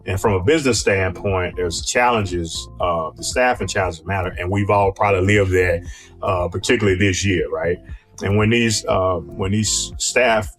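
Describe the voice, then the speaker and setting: A man co-hosting a podcast, explaining business points conversationally and loosely, often checking in with a tagged-on "right?". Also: deep voice. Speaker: podcast co-host.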